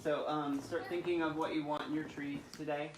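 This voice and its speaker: A man hosting storytime for young children, with an expressive, sing-song delivery. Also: a little high, normal speed. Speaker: children's library storytime host. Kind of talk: reading picture books and leading finger rhymes. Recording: roomy echo with faint background noise.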